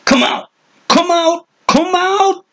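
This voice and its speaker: A man voicing a cranky, hunched old hermit with a high, strident, shrill voice who rants and mutters. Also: slow pace, fairly bright tone, exaggerated voice. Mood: angry ranting. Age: older.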